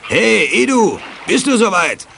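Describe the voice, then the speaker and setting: A mocking, laid-back crook in a children's crime radio play. He talks slowly with big swoops of pitch on a noisy recording. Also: sing-song, gravelly, heavily acted. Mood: calling out. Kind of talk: 1980s children's crime audio play acting.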